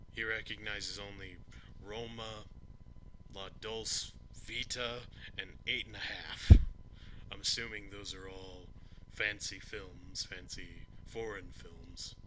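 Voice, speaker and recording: A man voicing a kindly old grandpa reading a bedtime story aloud, haltingly, with rambling asides and self-corrections. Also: low-pitched, poor audio. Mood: musing aside. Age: older. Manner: slow, halting, fumbling and correcting himself. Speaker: amateur voice actor. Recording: steady ceiling fan noise behind. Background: ceiling fan.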